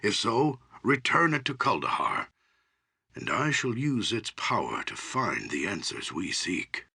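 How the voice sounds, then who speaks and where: Gravelly, solemn old archdruid. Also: male voice, fairly low pitch, sing-song, pushed and bright, exaggerated voice. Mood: solemn, explanatory. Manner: measured, formal, theatrical delivery. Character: solemn elderly male archdruid, humble, grave wise counsellor. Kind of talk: fantasy game voice acting.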